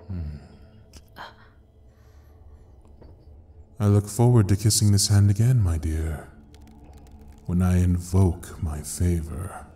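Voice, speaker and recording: An actor playing a suave, menacing devil in an audio roleplay, speaking in a dark velvety voice with courtly, teasing deliberation. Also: male voice, deep voice, a little hiss or hum. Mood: courtly, flirtatious. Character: suave, menacing male devil ruling a frozen hell. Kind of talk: ASMR audio roleplay acting.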